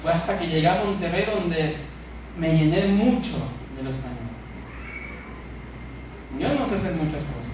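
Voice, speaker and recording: A man with a Mexican accent preaching a sermon as a pastor, speaking emphatically with repeated phrases and pauses for effect. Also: on the high side, expressive. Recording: echoey church hall, distant mic, noisy.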